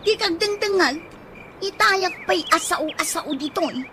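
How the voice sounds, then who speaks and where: A woman with an Ilocano accent playing a bossy, nagging wife with a sharp tongue in a radio comedy drama. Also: extremely high pitch, slow. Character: bossy, scolding wife stirring up an inheritance feud. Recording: noisy ambience with chirping birds.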